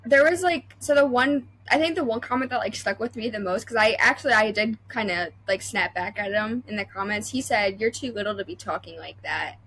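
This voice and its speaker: A woman's voice: medium pitch, a little fast, few pauses, fairly bright tone, low-quality recording. Chatty young woman, filler-heavy talk. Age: young adult. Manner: chatty, many fillers and restarts. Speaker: guest, welder. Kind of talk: casual interview chat.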